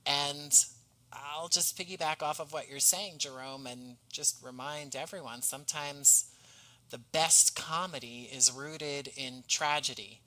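A man's voice: fairly high pitch, steady tone, unhurried, bright, forceful tone, poor audio. A man speaking as a podcast guest in a conversational interview, earnest and effusive in manner. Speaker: podcast guest, audio drama writer and actor.

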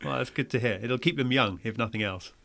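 A man's voice: mid-range voice, quick pace, creaky, fairly bright tone. A man hosting a school podcast, opening with a scripted introduction and then asking polite questions of his guest. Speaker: podcast host.

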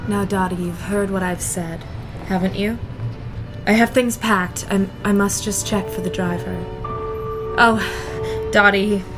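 A woman's voice: mid-range voice, fairly even intonation, a little slow, lightly acted. A woman playing the insistent, tormenting ghost of a dead woman in an audio drama. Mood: urgent. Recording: music under the voice.

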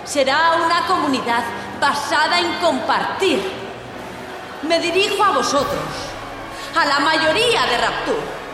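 Casting: woman as fervent revolutionary orator, high animated voice, declamatory, heavy echo, music behind. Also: measured pace, long pauses, background noise. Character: idealistic revolutionary woman leader addressing the masses. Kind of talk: political speech in an audio drama. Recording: echoing hall reverb under loud music.

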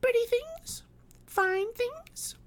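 Man putting on a very high, childish little girl. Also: animated, drawn-out words, a bit bright, a little hiss or hum, full character voice. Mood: enthusiastic. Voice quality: childish falsetto. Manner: childlike folksy speech. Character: little girl of five, innocent, grave and matter-of-fact.

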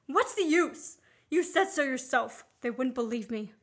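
A woman acting the part of a brisk, impatient man on the run in an amateur radio play. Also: high-pitched, lively intonation, breathy edge, exaggerated voice. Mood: exasperated. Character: Richard Hannay, wronged male fugitive, brisk and impatient. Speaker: student actor.

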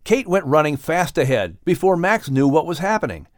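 A man narrating a scripted children's story as an audiobook reader, with expressive delivery. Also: on the high side, normal speed, no room sound, clean studio sound.

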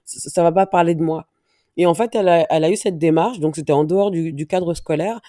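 A woman from France speaking as an interview guest, giving a personal testimony and pausing often to search for her words. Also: fairly low pitch, mellow, no echo, studio quality. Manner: halting, searches for words, many restarts. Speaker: interview guest, dance teacher.

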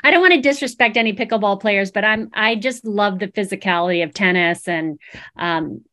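A woman's voice: mid-range voice, fairly quick, sharp tone. A woman with an East Coast accent, a dietitian guest talking casually about her career with many ums. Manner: hesitant, lots of ums, laughs easily. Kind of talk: casual interview chat.

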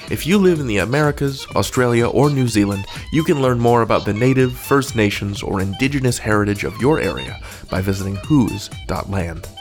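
A man hosting a podcast, reading scripted announcements in a playful, theatrical way with formal flourishes. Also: lowish voice. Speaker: podcast host. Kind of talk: scripted podcast outro with interview.

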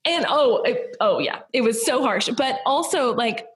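A woman's voice: a little high, expressive, measured pace. Chatty Southern US woman guest. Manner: chatty, rambling, full of "like" and "you know". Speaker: podcast guest, business owner. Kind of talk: casual conversational interview.

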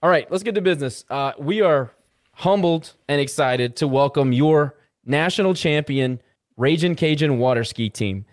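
A man's voice: a little high, moderate pace, no room sound, studio quality. A man hosting a podcast in an informal, enthusiastic, conversational interviewing style. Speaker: podcast host.

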